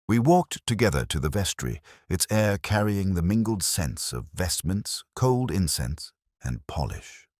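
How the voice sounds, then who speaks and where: A man narrating a scripted first-person detective story in a measured, solemn, literary manner. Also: a bass voice, husky, dry recording, studio quality.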